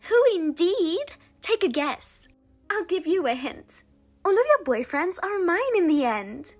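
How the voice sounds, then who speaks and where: A woman plays a husband-stealing younger sister in a voiced drama, smug and taunting, her high voice leaping all over as she drags out her words. Music plays underneath her. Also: big pitch swings, exaggerated voice. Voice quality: high and sing-song, taunting. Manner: mocking, self-satisfied, drawn-out teasing.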